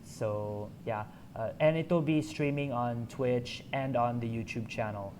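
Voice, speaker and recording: A man's voice: medium pitch, unhurried, a little mellow, slight background noise. A man hosting a game stream, talking casually and hesitantly with many fillers and apologies.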